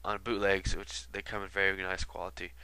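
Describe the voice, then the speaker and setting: A man's voice: low voice, little pitch movement, fairly quick, pushed and bright, clean tone, no room sound. A man with a stuffy nose from being sick, commenting casually and rambling with lots of fillers. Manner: rambling, many fillers and asides. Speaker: video commentator. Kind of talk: casual album retrospective commentary.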